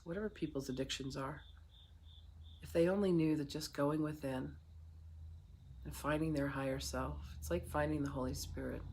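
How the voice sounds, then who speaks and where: A meditation guide speaking in a soothing, unhurried way with long pauses, leading a guided meditation. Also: medium pitch, breathy edge.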